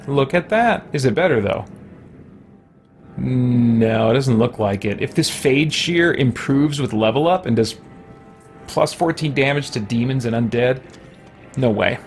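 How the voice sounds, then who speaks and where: A man's voice: mid-range voice, a little slow. A man giving casual gameplay commentary as a let's play host, thinking aloud as he goes. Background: game music.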